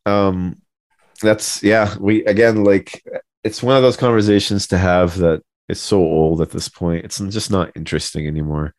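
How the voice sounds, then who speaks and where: A man with a deep voice chatting casually and informally as a podcast co-host. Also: steady tone, normal speed, darkish tone, no echo. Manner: informal, uses "bro" and "man". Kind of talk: casual chat.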